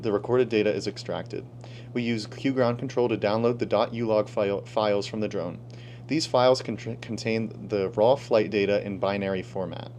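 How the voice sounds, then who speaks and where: Low-voiced teen boy over background hum. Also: a little mellow. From Pennsylvania, United States. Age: teens. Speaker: student research presenter. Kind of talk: scripted presentation. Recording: clear background hum and noise.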